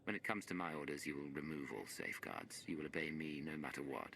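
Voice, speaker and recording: An actor playing a grave, authoritative hero in a video game, speaking directly and seriously. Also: male voice, rumbling low, sing-song, fast talker, gravelly, bright, forceful tone, slight background noise, exaggerated voice. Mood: stern, commanding. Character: grave, commanding male rescued hero, measured and authoritative. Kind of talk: video game cutscene voice acting.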